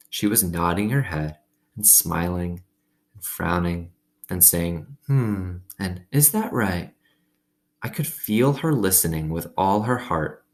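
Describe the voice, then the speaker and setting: A man reading a storybook aloud expressively as host, with simple, conversational asides. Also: deep voice.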